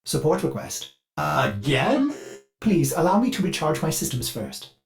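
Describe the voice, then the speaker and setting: A man's voice: a little high, very animated, unhurried, gravelly, exaggerated voice. An actor voicing a polite, eager male ship AI servant in a video game, with a formal, mannered delivery. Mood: brisk, dutiful. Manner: mannered, formal, refers to itself in third person. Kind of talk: scripted game character voice lines.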